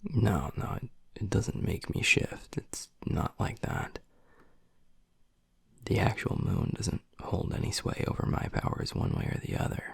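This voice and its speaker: A man playing a tender, gruff young werewolf boyfriend in an intimate audio roleplay, speaking in a hushed, husky, earnest way close to the mic. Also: wildly swooping pitch, dry recording, full character voice. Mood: earnest, reverent. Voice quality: low, gravelly, husky, breathy. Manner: hushed, slow, earnest, halting. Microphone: close, dry microphone.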